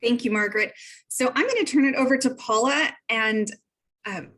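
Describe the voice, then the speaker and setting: A woman's voice: on the high side, moderate pace, a bit bright. A woman instructor hosting an online information session, friendly and organized, speaking through a computer mic on a video call. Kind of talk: casual online meeting.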